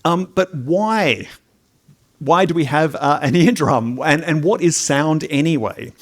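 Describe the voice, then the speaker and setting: Jokey middle-aged New lecturer. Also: male voice, on the high side, lively intonation, measured pace. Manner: chatty and jokey, with audience asides and restarts. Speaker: hearing science lecturer. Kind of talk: public science talk to a live audience.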